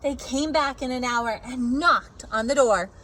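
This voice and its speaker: A woman reading a picture book aloud to children in a very animated way, drawing out words and sound effects and pausing to ask her listeners questions. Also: high-pitched, background noise.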